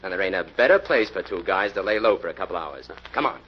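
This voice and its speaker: Deep, bossy American gangster. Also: male voice, animated, fast talker. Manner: gruff, impatient, domineering, slangy. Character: tough, bossy, hard-boiled American bank robber, ex-convict. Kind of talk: old-time radio crime acting. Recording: muffled, hissy old radio recording.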